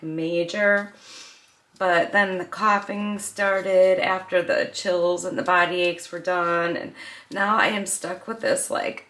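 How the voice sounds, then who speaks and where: A woman in a faintly noisy room, talking through a home craft project with a voice made raspy by a lingering cold. She chats in a loose, rambling way, full of ums and restarts. Her pitch sits in a middle range. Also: a bit bright. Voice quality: slightly raspy. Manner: rambling, chatty, frequent ums and self-corrections. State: raspy from a cold, getting over a cough. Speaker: craft channel host. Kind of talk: casual crafting tutorial chat. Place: home craft table in the evening.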